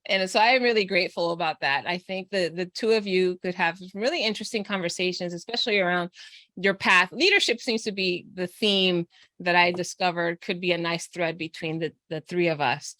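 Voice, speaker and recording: A woman's voice: on the low side, fairly quick, fairly bright tone, dry recording. A woman hosting a podcast, chatting casually and encouragingly with her guests, laughing often. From Texas, USA. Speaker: podcast host and coach. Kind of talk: casual interview chat.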